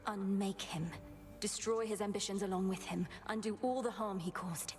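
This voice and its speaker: A woman playing a resolute young goddess princess in a video game, speaking in a formal, measured, determined way. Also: medium pitch, steady tone, a little mellow, soft background music, lightly acted. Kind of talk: dramatic video game acting.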